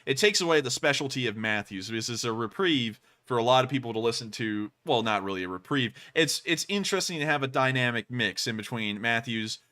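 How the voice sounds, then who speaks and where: Animated high-pitched young man. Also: brisk, hardly any pauses. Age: young adult. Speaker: co-host of a live stream. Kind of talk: live stream commentary.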